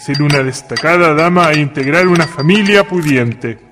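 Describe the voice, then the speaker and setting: A man's voice: a little high, animated, normal speed, big-room sound, noisy background, close to an everyday voice. A man playing a folksy Chilean father hosting guests. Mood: genial. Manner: folksy, hospitable, storytelling. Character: proud father of Dalila, genial small-town host. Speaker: voice actor. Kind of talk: community radio drama acting.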